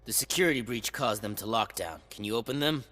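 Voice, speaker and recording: A man's voice: medium pitch, lively intonation, fast, bright, forceful tone. An actor playing a tired security guard who talks casually and grumbles about his boss. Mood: grumbling.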